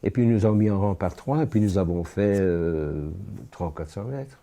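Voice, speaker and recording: Deep, dark-voiced man in his eighties. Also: lively intonation. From born in France. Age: 80s. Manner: halting, with frequent restarts and pauses. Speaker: witness giving testimony. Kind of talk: spoken personal testimony.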